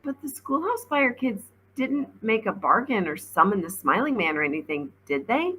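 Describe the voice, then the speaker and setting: A woman's voice: fairly high pitch, big pitch swings, normal speed, sharp tone, a little hiss or hum, pitched above the performer's own voice, poor audio. A woman voicing an earnest, anxious young girl in a storybook, with a questioning, pleading manner.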